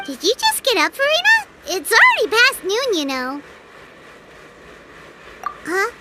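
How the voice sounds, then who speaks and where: A woman voicing a small, childlike fairy companion in a video game, squeaky, chirpy, cheeky and exclamatory. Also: wildly swooping pitch, a little slow, very noisy, heavily acted. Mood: bubbly, cheeky. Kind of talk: English video game voice acting. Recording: loud music under the voice.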